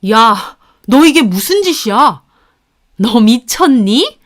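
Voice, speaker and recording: A woman's voice: a little high, sing-song, slow. A woman voicing a domineering, easily enraged older mother-in-law who scolds indignantly in a dramatized story. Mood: outraged.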